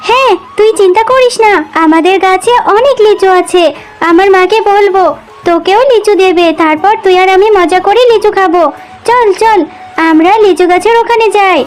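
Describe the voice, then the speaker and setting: A woman's voice: extremely high pitch, normal speed, rough recording. A woman voicing a sweet, eager, earnest little girl in a children's cartoon. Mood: chatty, eager. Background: music.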